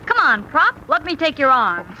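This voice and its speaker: Gushy bossy teen girl, 1930s radio comedy, sharp bright voice, slightly noisy old recording. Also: sits high, big pitch swings, moderate pace, highs cut off, exaggerated voice. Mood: coy, eager.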